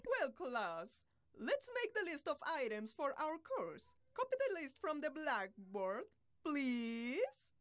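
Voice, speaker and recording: A woman's voice: high-pitched, wildly swooping pitch, a bit bright, no echo, heavily acted. A woman voicing a patient, instructive female schoolteacher puppet in a scripted educational show. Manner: deliberate, instructive. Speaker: teacher.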